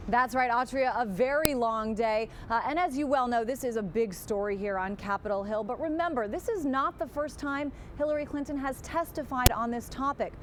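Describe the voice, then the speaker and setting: A woman files a live TV news report in field-reporter style. Her voice is on the high side, with clear traffic rumble behind her. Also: normal speed, few pauses.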